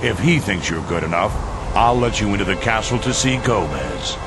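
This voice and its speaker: An actor playing a gruff, dismissive camp gatekeeper in a video game, curt and challenging. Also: male voice, on the low side, expressive, normal speed, very noisy. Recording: loud music over the dialogue.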